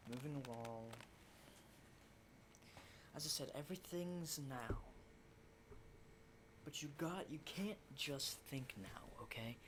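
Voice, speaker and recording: A man playing a battle-hardened, rattled survivor of a zombie apocalypse in an ASMR roleplay, rambling and swearing in hushed, halting speech. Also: medium pitch, noisy background. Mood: pensive, weary, then earnest, warning.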